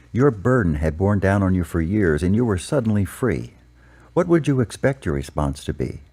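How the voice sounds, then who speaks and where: A man playing a patient priest giving measured, explanatory counsel in an amateur audio drama. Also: low voice, dark tone.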